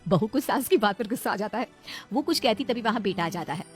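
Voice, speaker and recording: A woman's voice: mid-range voice, animated, fast, over music. A woman narrating a scripted comic moral story as the storyteller.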